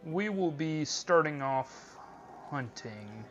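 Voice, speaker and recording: A man's voice: fairly high pitch, expressive, takes it slowly. Man role-playing over game music, dull mic. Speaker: let's play host. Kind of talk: role-played game commentary.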